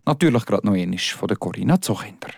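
Swiss German radio presenter, easygoing, dry studio mic. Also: male voice, fairly low pitch, expressive, fast talker, vocal fry, husky, no room sound.

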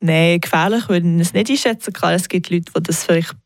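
A young woman, a native English speaker, chatting casually in an interview about her tarot readings, relaxed and full of small hesitations. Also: lowish voice, a little fast, no echo, studio quality. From Switzerland. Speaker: tarot reader.

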